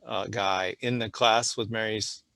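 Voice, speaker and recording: A low, gravelly-voiced older man hosts a group chat over a video call. He talks thoughtfully and haltingly, circling back and restarting his phrases. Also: fairly even intonation, bright, forceful tone, no room sound. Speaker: podcast host. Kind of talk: casual group conversation.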